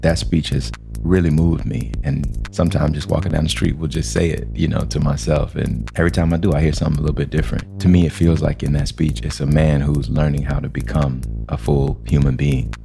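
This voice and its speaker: A man's voice: rumbling low, little pitch movement, a little fast, gravelly, over music. A man giving reflective remarks as an interviewed guest, speaking conversationally.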